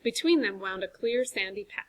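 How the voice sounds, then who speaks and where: A woman teacher reading a chapter book aloud to a class, with clear, expressive narration. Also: mid-range voice, normal speed. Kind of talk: storybook read-aloud for a class.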